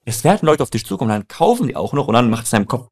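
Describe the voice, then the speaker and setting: A man's voice: medium pitch, sing-song, racing. A young man from Germany talking casually and emphatically, telling personal stories in a colloquial way and addressing the listener directly. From Germany, born in Iran and raised in Mannheim. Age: young adult. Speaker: co-founder and co-host. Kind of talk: casual conversational storytelling.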